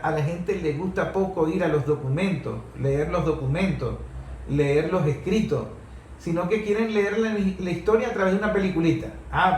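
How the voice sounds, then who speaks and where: A man hosts a talk programme with a fairly high voice. He explains and argues emphatically, restating his points. The room is clearly echoey. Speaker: host of a discussion programme. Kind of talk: opinionated talk show discussion. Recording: echoey room with faint background noise.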